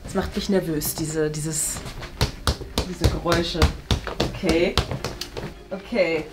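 A woman's voice: low-pitched, steady tone, slow pace. A young woman casually thinking aloud as she hosts and plays a guessing game, playful, hesitant and rambling. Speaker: host and contestant guessing in a game. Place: small indoor studio room. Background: background music.

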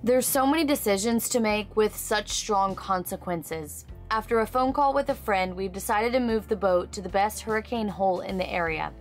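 A woman's voice: medium pitch, moderate pace, few pauses, faint music behind. A woman in her thirties hosting a sailing vlog, talking nervously to a handheld camera inside a boat cabin. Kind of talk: casual vlog narration. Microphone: handheld camera at arm's length.

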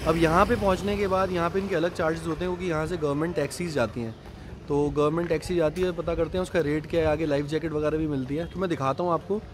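A man's voice: fairly high pitch, fairly even intonation, fast, noisy background. A young man explaining things casually and repetitively to camera as a travel vlogger. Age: young adult. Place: outdoors by roads and parking, later in a parked jeep. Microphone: phone at arm's length.

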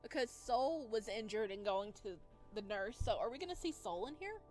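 Girl streamer reading a visual novel out loud, expressive, lightish voice, music behind. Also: on the high side, moderate pace. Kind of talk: lively read-aloud of a game with casual commentary.

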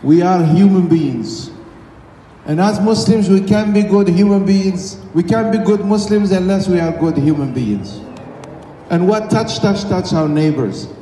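A man's voice: high voice, unhurried, slight background noise. A middle-aged man, an imam, giving an urgent, preacherly speech at a vigil, speaking into a handheld mic in a crowded outdoor city square. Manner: urgent, preacherly, rises to shouts. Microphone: handheld mic through a PA, recorded from a phone in the crowd. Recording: echoey PA sound from a distance, crowd murmur.

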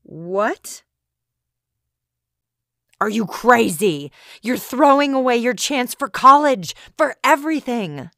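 A woman doing a slow-talking worried mother. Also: a little high, lively intonation, sharp tone, no echo, clean studio sound, heavily acted. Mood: alarmed. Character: worried middle-aged mother, alarmed and pleading.

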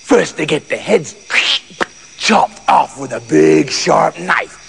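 A man's voice: a little high, expressive, slow, creaky, a little hiss or hum, pitched below the performer's own voice, heavily acted. A man voicing a horrible, gloating farmer in a children's story, taunting and menacing.

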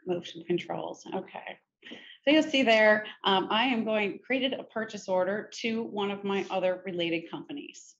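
A middle-aged woman presenting a software demo in a conversational, informal step-by-step tutorial style. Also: fairly low pitch. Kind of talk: conversational screen-share tutorial. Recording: muffled, noisy screen-recording audio.

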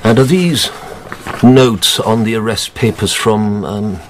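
A man playing a disguised nobleman in a radio drama, speaking in a measured, deliberate way. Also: lowish voice, slight background noise. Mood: calm. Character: cool, calculating disguised nobleman.

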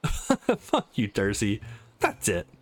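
A man's voice: lowish voice, huge pitch swings, slow pace, voice pushed down, heavily acted. A man voicing a terse, brooding young man who trains to fight in a visual novel. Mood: irritated. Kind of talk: visual novel dialogue and inner monologue read aloud.

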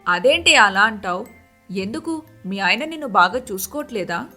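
A woman voicing a kind, generous wealthy young wife in an animated moral story, with a friendly, reassuring manner. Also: mid-range voice, moderate pace, faint music behind, pitched below the performer's own voice. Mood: concerned, friendly.

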